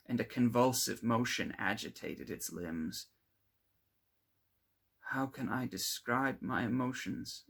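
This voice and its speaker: A man with a husky, gravelly voice reads a classic gothic novel aloud in a theatrical, emphatic way, setting phrases apart. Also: lowish voice, a bit bright. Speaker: amateur audiobook reader.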